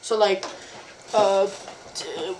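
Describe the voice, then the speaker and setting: A woman's voice: medium pitch, fairly even intonation, very slow, a little mellow, slight background noise, poor audio. A woman interviewing a stranger on a casual video chat, rambling and stumbling with many likes and restarts. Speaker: interviewer, cosplayer.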